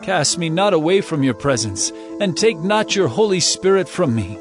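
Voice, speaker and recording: A man's voice: on the high side, sing-song, normal speed. A man giving a dramatized scripture reading as an earnest, pleading prayer. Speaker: scripture narrator. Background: instrumental music.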